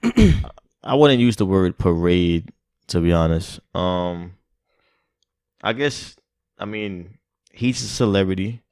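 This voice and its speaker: A man's voice: sits low, lively intonation, slow pace, a little mellow, clear, resonant tone, no echo, studio quality. A young man co-hosting a podcast in casual chat, laid-back and hesitant, with many fillers. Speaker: podcast co-host.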